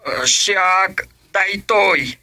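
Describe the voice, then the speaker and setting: Man acting very slow, high, piercing caller. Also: sharp tone, heavily acted. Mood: deliberate. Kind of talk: rural comedy radio drama acting.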